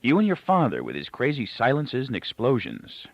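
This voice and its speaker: A man's voice: medium pitch, wildly swooping pitch, moderate pace, dry recording, voice pushed up. A man reading the part of a blunt young male friend, with short, direct, pointed remarks and questions. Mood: teasing. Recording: dull, lo-fi, close dry recording.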